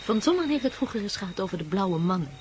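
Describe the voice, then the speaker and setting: A woman's voice: mid-range voice, sing-song, racing, lightly acted. An actress playing an emotional, tender young woman in a radio play. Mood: anxious, earnest. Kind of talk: Dutch radio play acting. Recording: noisy old radio drama recording.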